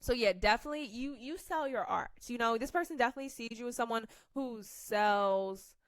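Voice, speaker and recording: A woman's voice: on the high side, a little fast, no room sound. Chatty American woman reading tarot. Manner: chatty, rambling, self-correcting, reads card text aloud. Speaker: tarot reader. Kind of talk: casual pick-a-card tarot reading.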